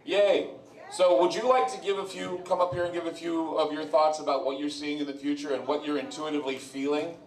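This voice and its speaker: A man's voice: fairly high pitch, fairly even intonation, a little fast, a little mellow. A man who hosts a radio show, talking live to an audience at an event in a loose, rambling way, often repeating words as he goes. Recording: roomy live venue, mic heard from a distance.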